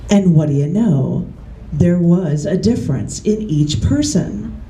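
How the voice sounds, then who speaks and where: Slow, mellow woman reading poetry aloud. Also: fairly low pitch, animated, slight background noise. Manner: measured deliberate reading, chatty and laughing between poems. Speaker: poet. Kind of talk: live poetry reading with casual asides. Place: large bookstore, indoors. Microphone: camera mic. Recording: echoey room from the camera.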